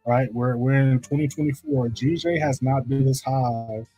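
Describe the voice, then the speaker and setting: A man with a deep voice hosting a live trading stream, talking casually through charts and often checking in with "right?". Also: fairly even intonation, normal speed, mellow, breathy edge, faint music behind, poor audio. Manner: loose, repeats "right?" to check in with viewers. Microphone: streaming setup microphone.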